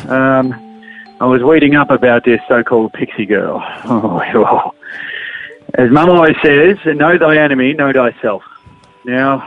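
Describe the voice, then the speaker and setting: A man's voice: a little high, narrow, tinny audio, lightly acted. A man voicing a cocky, jealous little girl who talks in grand, boastful declarations on a radio comedy call.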